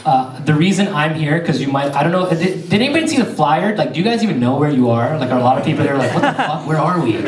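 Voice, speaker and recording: Man from Pakistan doing stand-up in echoey theater. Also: mid-range voice. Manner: loose, joking, self-mocking. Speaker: stand-up comedian. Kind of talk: stand-up with crowd work. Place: theater stage before an audience. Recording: echoey theater, distant mic, crowd noise.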